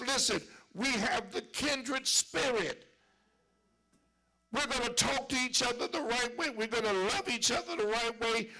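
A man's voice: very high voice, creaky, poor audio. A man preaching a sermon in a church cadence, building with repeated lines and sometimes sinking to a whisper. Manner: preaching with rising shouts and dropping to whispers; call-and-response church cadence, repetition.

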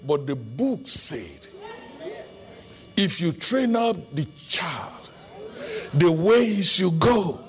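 High, animated Nigerian pastor preaching. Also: male voice. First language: Yoruba. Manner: emphatic preaching, bursts into shouting. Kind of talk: sermon.

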